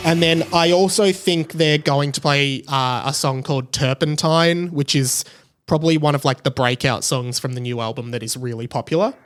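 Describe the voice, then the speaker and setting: Podcast host: Australian man, late twenties, slightly higher-pitched voice, casual and eager delivery. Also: fairly even intonation, moderate pace, fairly bright tone, faint music behind. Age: late 20s. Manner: enthusiastic, gushing. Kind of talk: casual chatty solo podcast.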